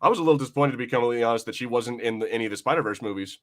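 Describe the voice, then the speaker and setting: A man's voice: mid-range voice, rapid-fire. A man chatting casually as a podcast host, informal and sweary in the way he talks.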